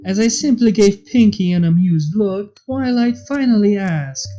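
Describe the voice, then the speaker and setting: A woman's voice: medium pitch, expressive, measured pace, warm tone. A woman reading a fan fiction story as a scripted narration. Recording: slightly dull audio, music behind. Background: background music.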